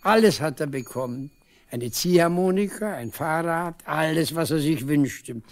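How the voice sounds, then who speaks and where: Creaky-voiced, devoted old village father. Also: a little high, measured pace. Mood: wistful, proud. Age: older. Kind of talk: 1970s East German radio drama acting.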